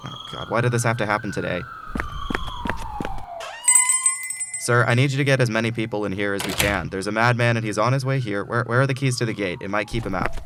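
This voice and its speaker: Casting: male actor as nervous, earnest middle-aged office clerk, lowish voice, audio drama, faint background noise. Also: fast, few pauses, close to an everyday voice. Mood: panicked. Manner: nervous, earnest, self-coaching. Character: anxious, eager middle-aged mailroom file clerk man. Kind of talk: audio drama acting, talking to himself.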